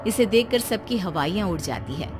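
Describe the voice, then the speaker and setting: A woman's voice: medium pitch, lively intonation, normal speed, music in the background. A woman reading scripted, dramatic horror-comedy narration as a storyteller.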